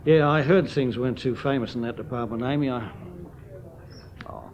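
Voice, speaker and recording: An actor playing a cheerful, brash star aviator, talking in a breezy, slangy, hearty way. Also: male voice, medium pitch, moderate pace, darkish tone, highs cut off, slight background noise, lightly acted. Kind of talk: 1970s radio drama acting.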